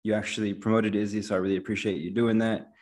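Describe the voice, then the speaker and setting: A man's voice: lowish voice, level tone, fast, a little mellow. A man hosting a podcast, welcoming his guest and asking about his background in a casual, friendly way with frequent fillers. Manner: friendly, uses many fillers like "you know". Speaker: podcast host. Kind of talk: conversational interview.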